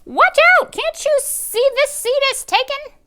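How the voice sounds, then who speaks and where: A woman voicing an excitable, overdramatic little girl in a storybook read-aloud. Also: high-pitched, fairly quick, pitched above the performer's own voice. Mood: excited.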